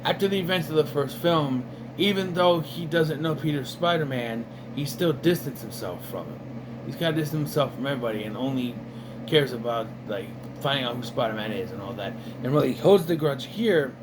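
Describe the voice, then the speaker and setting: A man's voice: mid-range voice, animated, a little fast, noisy background. Rambling sarcastic man reviewing a cartoon. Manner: rambling, sarcastic, many fillers. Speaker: video reviewer. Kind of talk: casual commentary.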